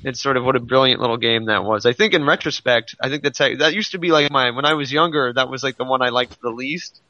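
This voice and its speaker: A man with a forceful, bright voice talks casually on a gaming podcast. He explains things in rambling, self-interrupting runs, and the close, dry recording sounds slightly dulled. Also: medium pitch, fast, no room sound, dull audio. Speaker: podcast co-host. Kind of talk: casual podcast chat about video games.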